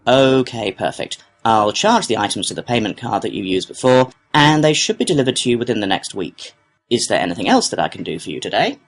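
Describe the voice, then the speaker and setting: A man acting as a courteous male customer-service clerk. His voice is husky and rises and falls a lot as he works helpfully through a scripted procedure. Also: lowish voice, sing-song, a little fast. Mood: courteous, businesslike. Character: courteous, helpful complaints clerk at an antiques company. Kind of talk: scripted listening-test dialogue.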